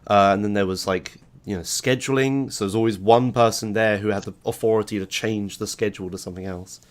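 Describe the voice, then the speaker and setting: A man hosting a podcast, talking loosely in a casual chat with his voice hoarse from a sore throat. He rambles, swears casually and laughs easily. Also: on the low side, fairly even intonation, brisk. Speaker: podcast host.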